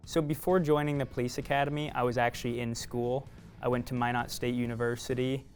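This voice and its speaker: Recruit from South Dakota, mid pitch, very even. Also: male voice, a little fast, soft background music. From Rapid City, South Dakota. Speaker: police academy recruit. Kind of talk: interview answers.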